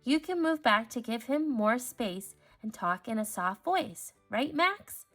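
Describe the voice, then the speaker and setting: A woman voicing a kind, patient female teacher in a storybook, explaining things gently. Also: a little high, expressive, a little slow.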